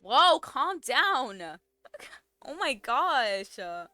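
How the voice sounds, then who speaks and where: A woman gives casual game reaction commentary in a bright, highish voice. She is blunt, slangy and incredulous, with game music playing behind her. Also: big pitch swings, extremely slow, no echo.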